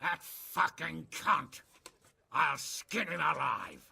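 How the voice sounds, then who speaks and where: An actor playing a hot-tempered, foul-mouthed lord in a video game, gravelly and raspy. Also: male voice, fairly high pitch, very animated, takes it slowly, bright, forceful tone, full character voice. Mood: furious. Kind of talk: video game cutscene acting.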